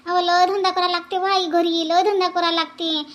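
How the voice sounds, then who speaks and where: A woman's voice: extremely high pitch, level tone, normal speed, a bit bright. A woman voicing a complaining, gossipy village woman in a comedy cartoon, with a Varhadi Marathi accent and repeated exclamations. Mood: plaintive. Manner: repeats phrases, exclaims often. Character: complaining, gossipy village mother-in-law and her grumbling married daughter.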